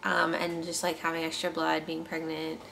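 A young woman vlogging at home, hoarse and congested from illness, talking about it in a plain, tired way. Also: low-pitched, monotone, normal speed, a bit bright, a little hiss or hum. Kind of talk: casual chat. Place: living room at home.

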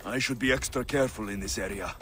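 An actor with a Greek accent playing a bold, probing mercenary in a video game, speaking in a direct, challenging way. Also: male voice, medium pitch, fast, fairly bright tone, natural voice. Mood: focused, musing. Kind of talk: video game dialogue acting. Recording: game audio with noticeable ambient noise.

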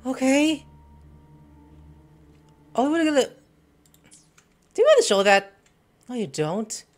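A young woman casually commenting as she plays a game at home, reacting loudly to the screen. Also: high voice, slow. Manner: excitable, talks back to the screen. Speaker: gameplay streamer. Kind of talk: casual let's-play commentary.

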